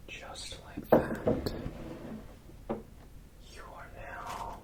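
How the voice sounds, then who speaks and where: A strange man of secrets who mends broken machines, acted by a man, whispering close to the mic in an ASMR roleplay. He speaks extremely slowly, with hushed, breathy phrases that linger and halt. His manner is tender and intensely curious. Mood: tender fascination.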